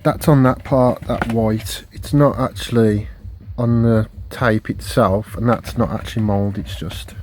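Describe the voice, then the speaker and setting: A low-voiced man talks loosely and hesitantly, stopping and restarting as he chats about his finds. He is recorded on a phone in a room at home, with a faint hiss of noise underneath. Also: fairly even intonation, unhurried, darkish tone. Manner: rambling, hesitant, many fillers and restarts. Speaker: video collector vlogger. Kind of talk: casual rambling haul video. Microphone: phone camera.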